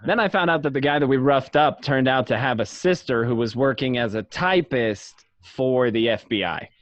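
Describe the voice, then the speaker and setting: A man's voice: medium pitch, normal speed, no echo, highs cut off, close to an everyday voice. A man playing a cynical, streetwise gangster who tells his own crime story in a radio drama. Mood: bitter disbelief. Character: cynical streetwise young mobster narrating his own arrest. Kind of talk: first-person crime drama narration. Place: home, remote online recording.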